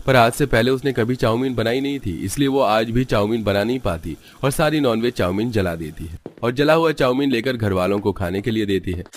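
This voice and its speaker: A man's voice: mid-range voice, brisk, hardly any pauses, a little hiss or hum. A man reading scripted narration for a Hindi family cartoon story.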